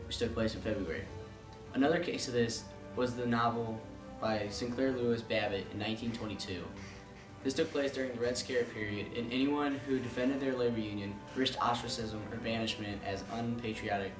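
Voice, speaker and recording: A man with a mid-pitched voice reads a news report formally from a script. He sounds far from the mic in an echoey room, with music underneath. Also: steady tone, a little fast. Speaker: news correspondent.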